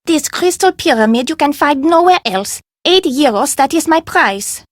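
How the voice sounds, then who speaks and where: An actress playing a proud, stubborn street vendor in a video game, haggling emphatically in formal phrasing. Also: female voice, high voice, animated, moderate pace, no room sound, clean studio sound. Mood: proud, insistent. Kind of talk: video game character dialogue.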